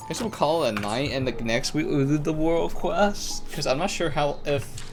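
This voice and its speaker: A man's voice: fairly high pitch, normal speed, over music. A man giving casual, slangy gameplay commentary on a live stream, thinking aloud as he plays. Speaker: live streamer playing a video game.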